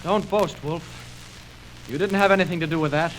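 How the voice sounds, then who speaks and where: An actor playing a defiant young captive reporter with clipped delivery in 1940s radio style. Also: male voice, a little high, normal speed, a bit bright, natural voice, poor audio. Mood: challenging. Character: defiant captive newspaper reporter, young man, high-voiced. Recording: old radio show record with surface hiss.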